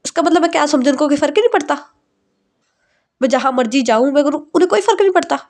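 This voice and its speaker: A woman voicing a stubborn, tearful young bride-to-be who talks in a high, whiny, insistent voice. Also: fairly quick, darkish tone, close to an everyday voice. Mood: indignant.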